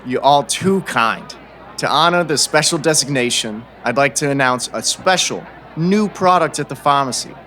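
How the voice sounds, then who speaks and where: A man as bright, sharp-voiced self-promoting shopkeeper. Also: expressive, moderate pace, some chatter behind, slight background noise. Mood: smug, salesy. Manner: showy sales pitch. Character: self-promoting small-town shopkeeper, eager salesman. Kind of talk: audio drama acting.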